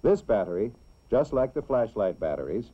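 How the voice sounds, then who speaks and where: A man's voice: on the low side, very animated, slightly rough. American man narrating a dull-sounding old educational film. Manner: simple, deliberate explaining for children.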